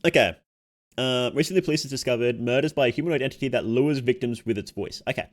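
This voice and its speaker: Male livestream reactor, medium pitch. Casual, unscripted commentary, often questioning himself and reasoning things through aloud. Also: quick pace. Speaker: streamer reacting to horror videos.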